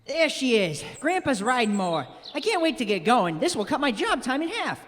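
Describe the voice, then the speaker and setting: An actor playing an eager youngster in a radio play, talking excitedly to themselves over chores, recorded in a reverberant hall with some noise. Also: sits high, a little fast. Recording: reverberant hall, noisy stage performance.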